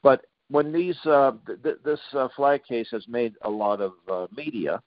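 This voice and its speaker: Middle-aged male radio host, sarcastic, halting delivery; dull, dry lo-fi sound. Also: medium pitch, animated, no room sound. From Boston, Massachusetts. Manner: sarcastic, halting with frequent uhs and restarts. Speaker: radio talk show host. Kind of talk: talk radio monologue.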